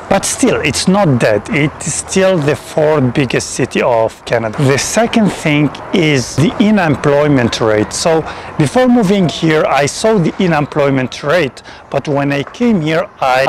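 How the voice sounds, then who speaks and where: A man's voice: medium pitch, a little hiss or hum, poor audio. A man from Tunisia in his thirties, giving a conversational to-camera explainer outdoors on a lapel mic, speaking English with small grammar slips. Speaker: immigration vlogger. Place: outdoors in a city park.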